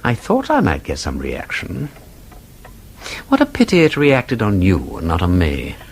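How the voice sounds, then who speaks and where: An actor playing a witty, talkative aristocratic sleuth in a radio drama, with an upper-class English accent and a playfully pedantic, theatrical manner. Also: male voice, deep voice, wildly swooping pitch, measured pace, slight background noise, full character voice. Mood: wry. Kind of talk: British radio drama acting.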